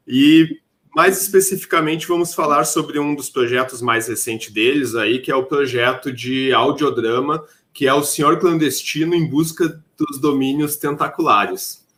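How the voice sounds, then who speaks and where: A man with a southern Brazilian accent hosting a podcast, speaking unscripted in a halting way with drawn-out pauses. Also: medium pitch, sharp tone. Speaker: podcast host.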